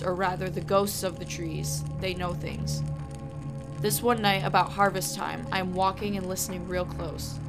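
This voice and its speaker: A young adult woman co-hosting a podcast, chatting casually and reading scary stories aloud. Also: mid-range voice. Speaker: podcast co-host. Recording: music under the voice. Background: background music.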